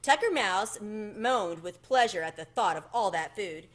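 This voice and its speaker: Animated woman reading a children's story. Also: mid-range voice, normal speed. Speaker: storybook reader. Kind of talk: expressive children's book read-aloud.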